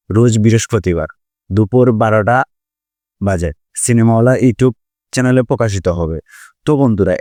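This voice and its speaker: A man's voice: on the low side, mellow, dry recording, studio quality. A man with a Bangladeshi accent narrating a drama series update as a channel host, repetitively addressing viewers as friends. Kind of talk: scripted YouTube review narration.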